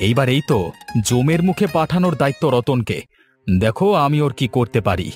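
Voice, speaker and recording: A man's voice: mid-range voice, big pitch swings, fairly quick, darkish tone, soft background music, lightly acted. A man dubbing an earnest, hot-headed and protective young villager in a cartoon. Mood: caring, resolute.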